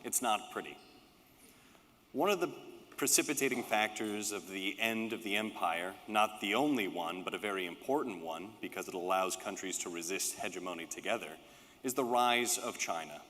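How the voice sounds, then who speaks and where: A man giving a prepared political speech at a conference, with emphatic, rhetorical phrasing and deliberate repetition. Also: fairly low pitch, expressive, a bit bright. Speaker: political speaker, panelist. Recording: reverberant hall with long echo.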